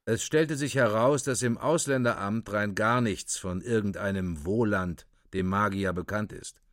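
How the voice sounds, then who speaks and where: A man's voice: lowish voice, measured pace, no echo, clean studio sound. A man narrating a literary radio drama in a clipped, report-like delivery.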